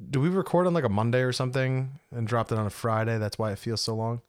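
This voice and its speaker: A man's voice: medium pitch, fairly even intonation, fast, clean tone, no room sound, clean studio sound. Teasing, playful male podcast co-host. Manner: playful, teasing, argues back. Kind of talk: casual chat.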